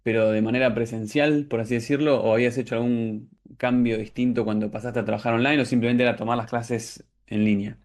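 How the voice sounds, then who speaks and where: A man's voice: medium pitch, little pitch movement, a little fast, few pauses, darkish tone. A man with a Rioplatense Argentine accent interviewing a guest conversationally, feeling out long questions and rephrasing as he goes. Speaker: interviewer, coach. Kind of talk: conversational client testimonial interview.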